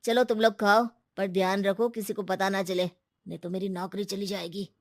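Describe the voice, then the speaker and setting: A woman voicing a kind young male waiter in a cartoon story, speaking softly and sympathetically. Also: medium pitch, level tone, moderate pace, no echo, clean studio sound, close to an everyday voice. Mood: sympathetic.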